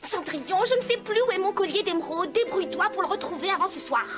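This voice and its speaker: A woman's voice: very high voice, lively intonation, fast. A woman playing a vain, bossy young stepsister in a French-dubbed fairy-tale cartoon, haughty and mocking.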